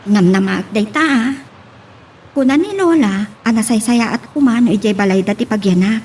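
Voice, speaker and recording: Actress as anxious, affectionate pregnant young woman for radio drama; high voice over noisy background. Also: moderate pace, a little mellow, lightly acted. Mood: earnest. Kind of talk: Ilocano audio drama acting.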